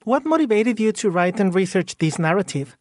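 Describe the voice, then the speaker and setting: A man's voice: high voice, sing-song, a little fast, no room sound. A man, himself a migrant, asking a guest plain, somewhat informal questions in a radio interview.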